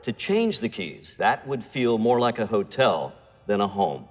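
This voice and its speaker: A man's voice: lowish voice, sing-song, a little slow. An actor playing a formal, grandiose male conference speaker giving a ceremonious stage speech in a film. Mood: formal, celebratory. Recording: reverberant hall, faint music behind.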